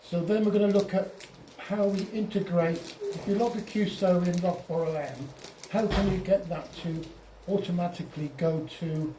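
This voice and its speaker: A man giving an informal software tutorial over slides as a club presenter, halting and often repeating or restarting his phrases. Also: high voice, fairly even intonation. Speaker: presenter at an amateur radio club. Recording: roomy, distant mic, faint noise.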